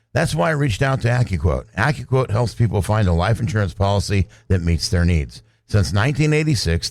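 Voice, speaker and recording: A man's voice: sits low, darkish tone, dry recording. A man, a pastor, giving a scripted testimonial in a radio commercial.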